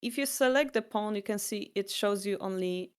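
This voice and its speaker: A woman's voice: medium pitch, moderate pace, clean tone. A woman with a Polish accent teaching a board game, patient and explanatory, with slightly non-native phrasing. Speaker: shogi teacher.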